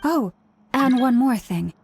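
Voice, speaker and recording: A woman's voice: high voice, lively intonation, slow pace, darkish tone, slightly rough, faint music behind, exaggerated voice. Earnest young townswoman.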